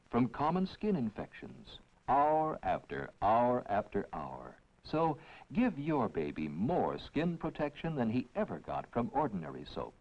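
A man reading a scripted 1960s TV commercial voice-over in a measured, reassuring way, on a vintage soundtrack. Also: fairly low pitch. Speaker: commercial announcer. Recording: dull vintage TV film soundtrack.

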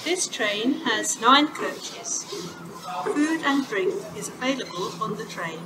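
A woman giving automated railway station announcements in a measured, formal way, played over loudspeakers. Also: a little high, lively intonation, very noisy. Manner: measured, formal, clearly spaced words. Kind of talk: recorded public announcements. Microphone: station loudspeakers recorded from far off. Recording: echoey platform speakers from far off. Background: station ambience.

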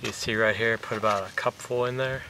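A man's voice: fairly low pitch, brisk. Guy in his 30s doing a bait how-to by the lake, laid-back, kinda far from the cam, windy noise behind. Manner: relaxed, plain step-by-step explaining with fillers. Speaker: fishing vlogger. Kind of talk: casual how-to demonstration. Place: outdoors on a lakeshore. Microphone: camera mic from a few meters away. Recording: distant outdoor camera audio with wind noise.

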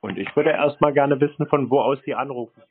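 Terse man with husky voice. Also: medium pitch, a little fast, soft background music. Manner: terse, dry, challenging. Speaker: prank host taking scam calls. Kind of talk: phone conversation. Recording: tinny narrow phone-like sound.

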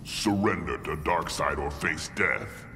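An actor playing a merciless ancient alien god-conqueror in a video game, gravelly and booming, with slow imperious menace. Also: male voice, lively intonation, heavy background noise, heavily acted. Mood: cold command. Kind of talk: video game villain acting. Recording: cavernous reverb, loud game sound behind.

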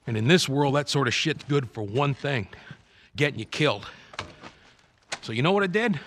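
An American man playing a gruff, sarcastic, paranoid middle-aged survivalist loner in a cinematic video game. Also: medium pitch, very animated, moderate pace, background noise, natural voice. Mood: bitter, cynical. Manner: gruff, foul-mouthed, sarcastic. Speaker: game character actor.

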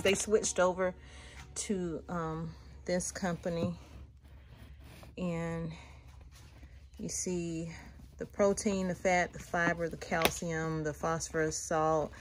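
A woman's voice: on the low side, steady tone, slow, noisy background. A woman homesteading vlogger chatting casually while doing chores, rambling and thinking aloud, filmed on a handheld phone outdoors and in a cluttered shed. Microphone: handheld phone camera. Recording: background music playing over the voice.

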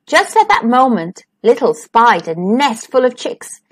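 High, animated woman storyteller. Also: unhurried. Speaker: storytime host and narrator. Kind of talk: children's picture-book read-aloud.

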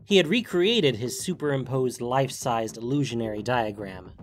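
A man narrating a scripted fantasy story dramatically, with deliberate emphasis. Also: medium pitch, lively intonation, faint music behind.

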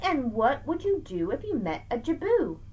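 A middle-aged woman teacher giving an expressive, emphatic read-aloud lesson for children, recorded on a webcam in a room at home. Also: high-pitched, moderate pace, breathy edge, poor audio. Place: small room at home with bookshelves, indoors. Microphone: webcam at arm's length.

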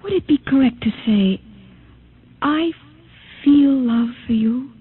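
An actress playing an earnest, emotional young woman in an old-time radio drama, halting and dramatic in delivery. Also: high-pitched, husky, heavily acted. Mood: tender wonder. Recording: muffled, narrow-band vintage radio recording.

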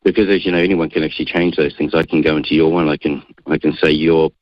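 A man's voice: a bass voice, steady tone, fast, no echo, dull audio. A middle-aged man with a New Zealand accent, answering radio interview questions assertively and defiantly. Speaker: guest.

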